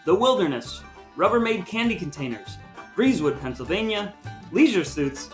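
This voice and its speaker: A man's voice: high-pitched, big pitch swings, normal speed, soft background music. A man hosting a podcast in a conversational style, talking to listeners and reminiscing casually. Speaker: podcast host.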